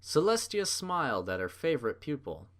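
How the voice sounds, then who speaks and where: A man narrating a fan fiction story in an animated storytelling style. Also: on the high side, moderate pace.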